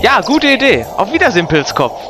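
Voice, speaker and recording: A man's voice: high-pitched, sing-song, a little fast. A man acting himself in scripted amateur audio drama dialogue. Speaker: cast member playing himself in audio play. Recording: faint music behind the voice.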